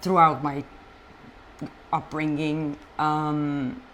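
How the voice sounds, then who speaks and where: A woman from Colombia, a native Spanish speaker, telling her life story as an interview guest in an animated, halting way with frequent restarts. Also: low-pitched, slight background noise. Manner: animated, halting, many fillers and restarts, frank. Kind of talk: personal storytelling in conversation.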